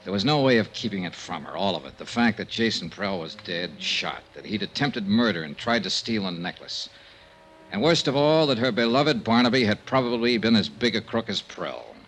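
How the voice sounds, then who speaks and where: An actor playing a world-weary, wry but kindly investigator in an old-time radio drama, terse and understated. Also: male voice, fairly low pitch, animated, moderate pace, fairly bright tone, soft background music. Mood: somber, narrating. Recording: faintly noisy old radio recording.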